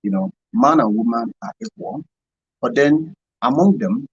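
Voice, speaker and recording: A man's voice: medium pitch, sing-song, measured pace, breathy edge, dull audio. A man with a Nigerian accent giving earnest, emphatic explanations as a guest in a radio studio interview. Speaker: radio show guest, NGO volunteer.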